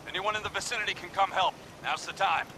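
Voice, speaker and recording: Urgent caravan leader calling for help on radio, high voice, clear noise behind, game dialogue. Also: male voice, fast. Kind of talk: emergency radio broadcast in a video game. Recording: noisy distant radio broadcast outdoors.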